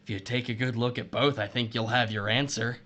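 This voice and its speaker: Man acting a vengeful, taunting betrayed husband, bright edge. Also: mid-range voice, fairly even intonation, muffled recording, close to an everyday voice. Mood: gloating. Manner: taunting, sardonic, drawn-out deliberate menace. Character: betrayed, vengeful husband turned sadistic murderer, missile silo worker. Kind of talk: audio drama acting.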